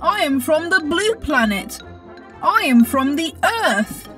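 A performer voicing a friendly, coy robot pupil in an educational children's cartoon, with deliberate phrasing. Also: high-pitched, sing-song, bright, forceful tone, faint music behind, exaggerated voice.

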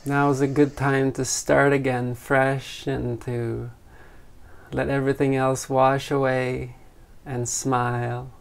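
A man leading a guided meditation in a gentle, unhurried way, leaving long pauses between phrases. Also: mid-range voice, little pitch movement, vocal fry, clean tone. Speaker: meditation teacher.

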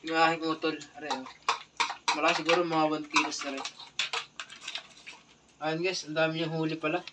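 A man's voice: on the high side, level tone, moderate pace. A man in his thirties from the Philippines, chatting casually to his viewers as he shows off his fishing catch and thanks them over and over. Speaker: vlogger, spearfisher. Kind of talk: casual vlog narration. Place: small tiled kitchen indoors, at night. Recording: distant phone audio with clear background noise.

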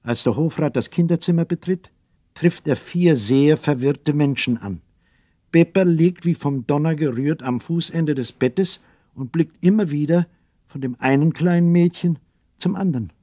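A man narrating a radio play as a scripted story, with measured, literary delivery. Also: fairly high pitch, dark tone, no echo, clean studio sound.

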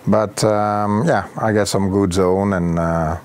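Middle-aged Frenchman, very low voice, halting accented answers, outdoor lapel mic. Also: fairly even intonation, darkish tone, a little hiss or hum. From France. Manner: hesitant, halting with fillers. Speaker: competitor. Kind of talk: interview answers. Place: outdoor patio.

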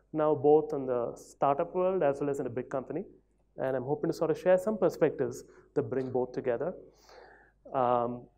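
A man in his thirties speaks at a conference podium in a large hall. His voice is mellow and warm, and he talks in a loose, conversational way, often hedging with small fillers. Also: a little high. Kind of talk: conference talk.

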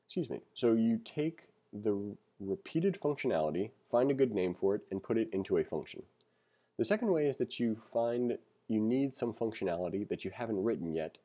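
A man's voice: on the low side, dark tone. A man giving a read-along programming lesson as a teacher, reading aloud and adding wry, conversational asides.

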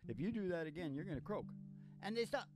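A man's voice: high-pitched, expressive, fast, music in the background. A middle-aged or older man hosting a podcast, chatting in a casual, rambling way with blunt, salty, folksy talk into a close stand microphone. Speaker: singing DJ and podcast host. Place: small curtained music studio, indoors. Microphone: stand microphone close to the mouth.

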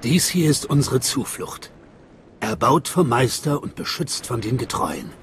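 A man playing a solemn cult guide in a video game, speaking in a measured, reverent, mystical way. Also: mid-range voice, animated, a little hiss or hum. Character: solemn male cult guide welcoming initiates. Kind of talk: dramatic video game voice acting in German.

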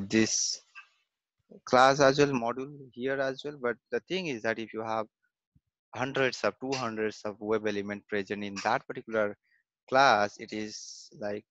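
A man giving a screen-recorded software testing lesson, halting, with many fillers and a habit of saying "okay" and "fine.". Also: fairly low pitch, dry recording, highs cut off.

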